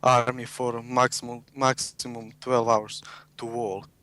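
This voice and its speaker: Guest, male, blunt and sure of himself, bright tense tone over a call. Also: medium pitch, flat delivery, a little slow. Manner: blunt, confident. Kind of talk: casual chat over a video call. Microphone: video call.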